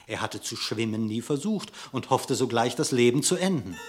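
A man narrating a verse fable theatrically, with dramatic, expressive declamation. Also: mid-range voice, normal speed. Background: music.

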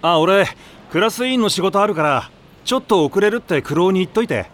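A man playing a calm senior high school boy in an anime audio drama, with a measured, solemn way of talking in casual slang. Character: calm, gentle-faced senior high school boy telling ghost rumours.

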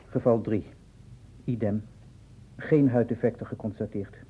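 An actor playing a deferential assistant who reports in clipped, orderly phrases, in a Dutch radio drama on an old recording. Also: male voice, steady tone, a little slow, mellow, breathy edge, poor audio. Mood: factual, orderly. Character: deferential male assistant reading out medical case reports. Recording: old radio recording, faint rumbling noise.

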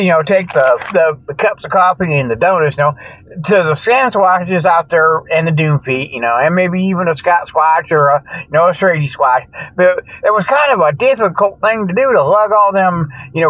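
A man's voice: sits high, expressive, moderate pace. An older man hosting a comic radio show in a rambling, folksy, joking monologue, often losing his thread. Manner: folksy, jokey, chuckles at own jokes, loses his train of thought. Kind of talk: rambling tongue-in-cheek radio monologue with mock sponsor plugs. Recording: dull, lo-fi, dry radio sound.

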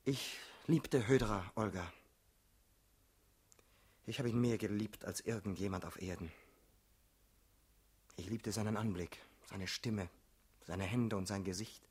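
An actor playing a troubled, doubting male political assassin in a German radio play, with a husky voice and a brooding, earnest delivery. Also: on the low side, measured pace, pauses often, a little mellow. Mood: wistful, tender.

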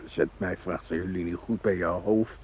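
An actor in a radio drama playing an ordinary captive family man, shaken but protesting in halting, plain-spoken phrases. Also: low voice, steady tone, a little mellow, breathy edge. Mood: weary, subdued. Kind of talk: radio drama acting, naturalistic. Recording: dull lo-fi radio drama recording.

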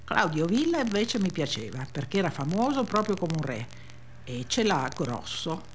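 A woman's voice: lowish voice, very animated, normal speed, slight background noise. A woman giving a dramatic first-person reading of a memoir for radio. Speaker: reader.

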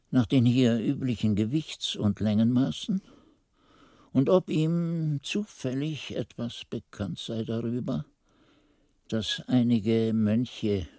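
An actor playing an earnest, reflective monk who tells his story in expressive, deliberate literary narration for a radio drama. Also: medium pitch, no room sound, studio quality.

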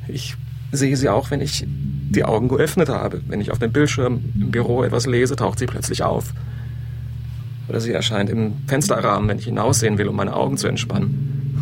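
A man's voice: medium pitch, creaky, heavy background noise, close to an everyday voice. An actor in a radio drama playing a brooding, pleading husband obsessed with his estranged wife, insistent and reproachful. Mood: desperate, pleading.

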